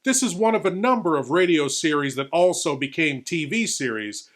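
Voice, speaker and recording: A man acts out a ghoulish lord presenting late-night horror. His voice sits high for a man, with a grand, drawn-out, theatrical delivery made for a scripted introduction. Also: lively intonation, lightly acted. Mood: spooky, informative. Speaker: horror show host.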